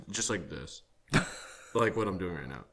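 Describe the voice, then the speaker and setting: Tired, hoarse-voiced man chatting. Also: low voice, animated, unhurried, fairly bright tone. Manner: loose, rambling storytelling with lots of laughing. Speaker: podcast co-host. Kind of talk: casual chat.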